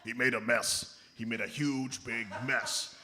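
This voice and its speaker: A man's voice: medium pitch, steady tone, measured pace, crowd noise, close to an everyday voice. A man playing an aggravated, bossy reindeer with an indignant, scolding manner in a live comedy radio play. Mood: aggrieved. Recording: live stage, music behind.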